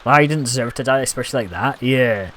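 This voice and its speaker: A man's voice: mid-range voice, quick pace, fairly bright tone, slight background noise. A Scottish man chatting casually and rambling as he streams a game, reacting aloud to what happens on screen. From Scotland. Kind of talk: casual live-stream commentary.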